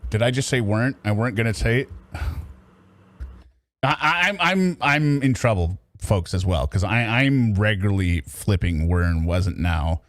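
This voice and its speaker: A man's voice: on the low side, expressive, moderate pace, no room sound. A man hosting a casual livestream, reacting and commenting in a chatty, teasing, mock-exasperated way. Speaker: livestream host.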